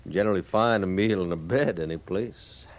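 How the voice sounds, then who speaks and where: An actor playing a wry, laconic frontier drifter with a folksy, evasive manner in an old radio western. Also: male voice, deep voice, a little fast, highs cut off. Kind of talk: 1950s radio western acting. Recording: old radio recording with faint noise.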